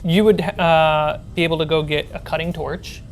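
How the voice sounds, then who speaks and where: A woman speaking as game master, narrating a tabletop role-playing game in a halting, thinking-aloud way. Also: sits low, fairly even intonation. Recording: background music under the voice.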